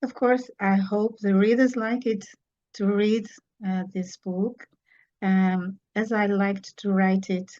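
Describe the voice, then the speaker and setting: A woman's voice: medium pitch, flat delivery, slow, darkish tone, lo-fi sound. A woman from Portugal with a Portuguese accent, speaking English as a second language and answering interview questions about her book, hesitant with many fillers. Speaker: author.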